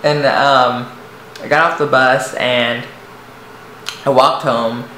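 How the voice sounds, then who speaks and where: A young man with a light, soft voice, telling a personal story to the camera, hesitant and rambling with many restarts. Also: medium pitch, fairly even intonation, slow. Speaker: vlogger. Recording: echoey room, faint noise.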